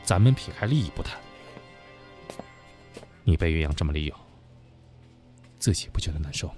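A man's voice: a bass voice, animated, moderate pace, music in the background. A man acting an insinuating, worldly senior businessman in an audio drama, with a knowing, needling manner. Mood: needling, pressing.

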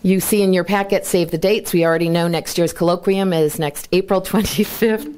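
A woman in her sixties opening a conference with an informal talk, full of chatty asides, speaking at a podium in a large bright hall. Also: on the low side, steady tone, a little fast. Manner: chatty, self-deprecating asides with light laughter. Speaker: conference organizer and presenter. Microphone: lapel mic, then handheld mic.

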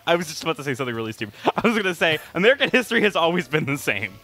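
This man's voice is high and bright, with hugely animated swings in pitch. He banters casually as a gaming co-host, flustered and playfully protesting, sounding giggly as he talks. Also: rapid-fire. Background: video game music.

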